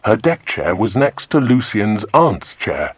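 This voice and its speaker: Deep-voiced man narrating, husky and animated. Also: a little slow, no room sound. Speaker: audiobook narrator. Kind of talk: scripted children's story narration.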